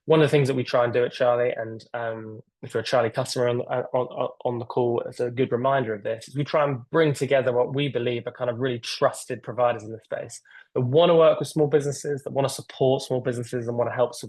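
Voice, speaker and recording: A man's voice: mid-range voice, fairly even intonation, fast talker, a little mellow, no echo. A man hosting a video-call webinar in a casual, rambling way, with lots of fillers and restarts. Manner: rambling, filler-heavy, enthusiastic. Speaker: webinar host.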